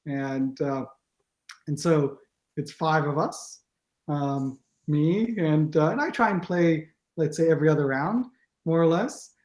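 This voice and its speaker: A man's voice: a little high, measured pace, a little mellow. A man with an American accent, who grew up in Israel, chatting as a guest on a video call, rambling with many fillers and restarts. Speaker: guest, team captain. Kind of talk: casual video-call interview. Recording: echoey room.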